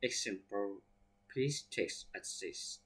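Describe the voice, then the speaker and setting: Male English teacher, Thai accent, careful word-by-word delivery that spells out letters, very slow pace. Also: fairly low pitch, fairly bright tone, husky. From Thailand. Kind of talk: reading vocabulary examples aloud.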